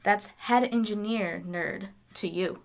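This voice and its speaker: An unhurried, medium-pitched actress's voice plays a nerdy young woman engineer in an audio drama. She sounds earnest and self-assured, musing aloud with a wry touch. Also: creaky. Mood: fascinated. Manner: wry, musing, talks to herself.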